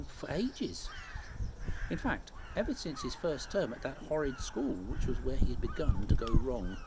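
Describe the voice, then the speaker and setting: A man reads a children's chapter aloud with a hugely varied, animated pitch. His voice is mid-pitched and he tells the story expressively, like a teacher to pupils. The homemade recording has clear background noise. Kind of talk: expressive children's audiobook-style read-aloud.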